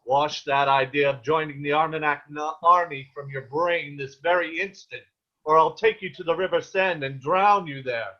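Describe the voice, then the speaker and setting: A man's voice: a little high, moderate pace, close to an everyday voice. A man playing a stern, protective peasant father in a table read of a period play, with ornate old-fashioned diction, over a video call. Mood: angry. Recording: dull, lo-fi online call.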